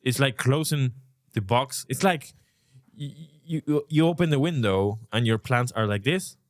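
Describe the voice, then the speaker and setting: A man's voice: mid-range voice, normal speed, no echo, clean studio sound. A man from Uruguay hosting a podcast, talking in a reflective, rambling way and swearing casually. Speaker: podcast host.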